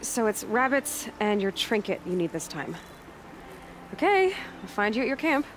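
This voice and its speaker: An actress playing a young outcast huntress in a video game, natural and understated with a wry streak. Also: female voice, medium pitch, sing-song, moderate pace, a little hiss or hum, close to an everyday voice. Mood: wry, reassuring. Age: young adult. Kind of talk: video game character acting. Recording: music behind.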